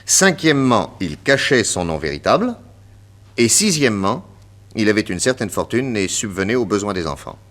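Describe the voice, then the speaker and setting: An actor playing a confident, witty detective inspector with a French accent, emphatic and theatrical in 1960s radio drama style. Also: male voice, fairly low pitch, very animated, normal speed, fairly bright tone. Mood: methodical, assertive. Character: confident, witty male detective inspector explaining his deductions.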